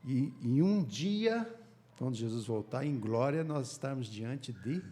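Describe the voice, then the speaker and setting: A man's voice: mid-range voice, animated, measured pace, warm tone, husky. A middle-aged Brazilian man explaining a Bible lesson in conversation, leading his listeners along with rhetorical questions. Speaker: Bible study panelist. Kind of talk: explanatory religious discussion.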